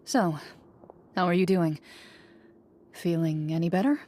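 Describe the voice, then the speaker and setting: Actress playing calm formal lady monster hunter in a game, mellow dark voice, reserved and measured. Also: lowish voice, a little hiss or hum, natural voice. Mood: calm concern. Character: composed, formal female duelist and monster hunter, cool-headed. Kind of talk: video game voice acting.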